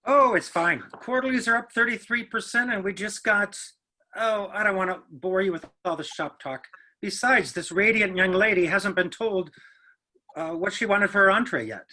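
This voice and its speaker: A man's voice: high voice, moderate pace, sharp tone, full character voice, low-quality recording. A man acting a genial, chatty middle-aged businessman father in a play reading. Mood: jovial.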